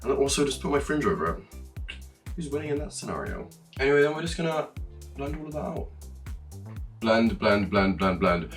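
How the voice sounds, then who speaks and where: Lively fast-talking young man. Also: mid-range voice, distant mic, soft background music. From Norway. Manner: chatty and animated, swearing and laughing while explaining. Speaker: makeup vlogger. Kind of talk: casual tutorial chat.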